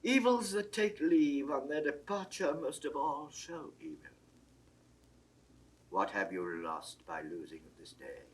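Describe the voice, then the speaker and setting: An actor playing a shrewd, worldly cardinal in a classical Shakespearean audio drama, speaking in a measured, oratorical way. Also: male voice, fairly high pitch, very animated, slightly rough, full character voice. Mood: shrewd counsel. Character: shrewd, worldly churchman, a cardinal coolly counselling.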